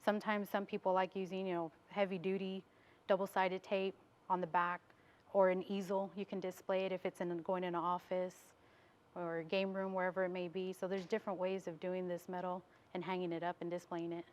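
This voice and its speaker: A woman's voice: lowish voice, level tone, a little fast, darkish tone, lo-fi sound. An American woman being interviewed as a business owner in a TV studio, explaining things in a casual way.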